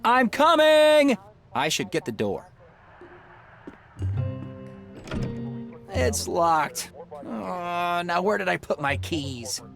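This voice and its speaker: Lively, awkward young inventor. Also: male voice, high voice, slow, gravelly, over music, full character voice. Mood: flustered. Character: excitable, awkward, earnest young male rocket inventor. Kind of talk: adventure game acting.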